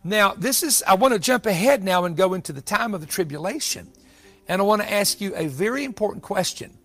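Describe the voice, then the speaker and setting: A man's voice: high voice, animated, fairly quick, fairly bright tone. A man in his sixties teaching the Bible in a sermon style, emphatic and given to repeating phrases for weight. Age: 60s. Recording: music bed under the voice. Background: music.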